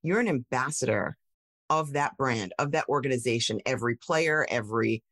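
A woman tech founder telling her story in a casual interview, animated, with many fillers and restarts. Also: extremely low pitch, normal speed, no echo, clean studio sound. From United States.

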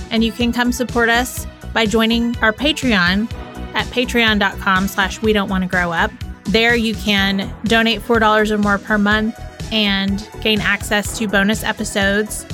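A woman's voice: on the high side, pushed and bright. A woman in her forties co-hosting a podcast, chatting and reading aloud in a giggly, informal way. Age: 40s. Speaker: podcast co-host. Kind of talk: casual chat and diary reading.

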